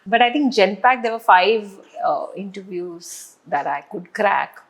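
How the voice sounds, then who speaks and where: A woman in her thirties with an Indian accent, a brand founder answering interview questions, mixing English and Hindi and pausing often on fillers. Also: medium pitch, animated. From Kuwait. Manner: hesitant with many fillers, laughs often. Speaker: guest, hair care brand founder.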